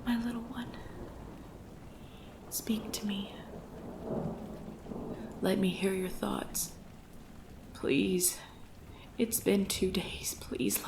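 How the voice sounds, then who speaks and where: Slow, creaky-voiced vampire queen, acted by a woman. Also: mid-range voice. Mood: tender, anxious. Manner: slow, solemn, dramatic, often whispering. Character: commanding female vampire clan leader, possessive, tender lover. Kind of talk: dark ASMR roleplay acting.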